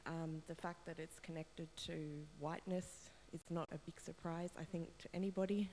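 A woman's voice: fairly low pitch, level tone, normal speed, a little mellow, slight background noise. A woman in the audience asking a question at a seminar, hesitant with many ums and a wry edge. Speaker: audience member. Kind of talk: question from the floor. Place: lecture room. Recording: distant voice with long reverb in a lecture hall.

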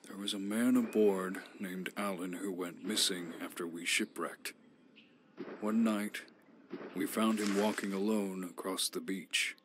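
Shipwrecked survivor, game narration, low gravelly voice, slow and somber. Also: male voice, fairly even intonation, noisy background. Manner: somber, measured storytelling. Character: grim shipwrecked man recounting island horrors.

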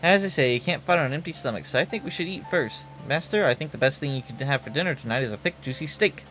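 A man's voice: a little high, expressive, fast, a bit bright, faint music behind. A man voicing a shy, eager young male ninja in a game, bashful and eager to please.

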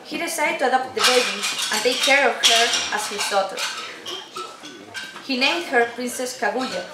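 A woman's voice: on the high side, moderate pace, very noisy. Young woman narrating, echoey room. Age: young adult. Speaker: student narrator. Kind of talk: scripted storytelling narration, read aloud. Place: indoor room at a day care centre. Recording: echoey room, clatter of crowd and dishes.

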